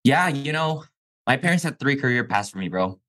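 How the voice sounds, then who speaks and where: A young man from Mexico whose first language is Chinese, telling his life story as a podcast guest in a relaxed, slangy way. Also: mid-range voice, moderate pace. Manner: laid-back, says "bro" and "like" often. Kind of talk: casual interview storytelling.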